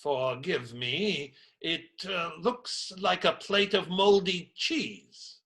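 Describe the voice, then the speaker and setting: Radio play friar, male actor: bright forceful voice, unhurried, sardonic, irreverent. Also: high voice, heavily acted. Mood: incredulous. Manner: hesitant, dry, sardonic. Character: Friar Tuck, cynical, blunt, irreverent male priest.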